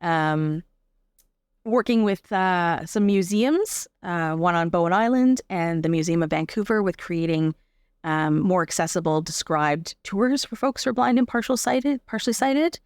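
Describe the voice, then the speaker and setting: A woman's voice: lowish voice, expressive, unhurried, clear, resonant tone, dry recording, clean studio sound. A woman with a Canadian accent speaking as an interview guest in a casual, reflective way, informal and thoughtful as she explains things. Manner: informal, thoughtful, explains through examples and rhetorical questions.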